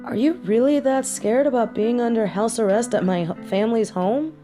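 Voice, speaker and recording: A woman's voice: a little high, normal speed, music in the background, lightly acted. A woman acting a caring, brash and loyal young superheroine in a scripted audio roleplay, with a conversational, sassy delivery. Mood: coaxing. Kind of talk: scripted one-sided audio roleplay drama.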